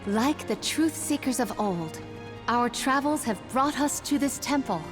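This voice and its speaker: A woman's voice: high-pitched, animated, unhurried, music in the background. An actress playing a thoughtful, solemn young woman in a video game, with formal, lofty phrasing.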